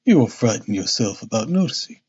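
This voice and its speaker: A man voicing a calm, polite Victorian gentleman detective from a storybook, with a bland, coaxing manner. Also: mid-range voice, fairly quick, husky, muffled recording.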